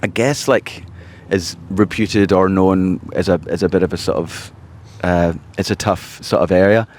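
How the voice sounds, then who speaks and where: Man, Glasgow Scottish accent, very low voice, hesitant, lots of restarts, casual interview guest. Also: fairly even intonation, measured pace, a little mellow. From Scotland. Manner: hesitant, many restarts and fillers. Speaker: podcast guest, charity founder.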